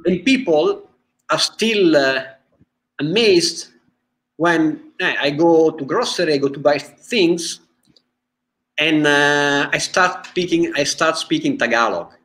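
A man in his forties with an Italian accent talks slowly over a video call from a room at home. His pitch sits a little high, and he halts often, filling gaps with "uh" and restarting phrases as he searches for the words. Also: animated, a bit bright. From Italy. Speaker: guest filmmaker. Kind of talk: casual interview chat. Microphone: video call webcam.

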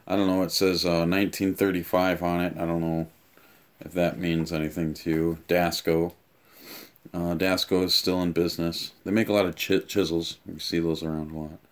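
A man in his thirties giving a casual, offhand unboxing and review, explaining things haltingly in a room at home. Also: low-pitched, flat delivery. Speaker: tool reviewer and vlogger. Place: small home room, indoors.

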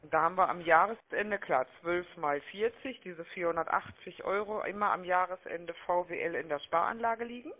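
A woman making a phone sales pitch, chatty and persuasive, often checking for agreement, heard over a telephone line. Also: moderate pace, few pauses. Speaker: telemarketing caller. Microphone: telephone call.

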